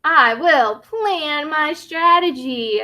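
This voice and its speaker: A woman's voice: sits high, expressive, drawn-out words, pushed and bright, full character voice. A woman voicing a tiny young T. rex from a children's storybook, childlike, earnest and determined, in short careful sentences. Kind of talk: children's storybook read-aloud.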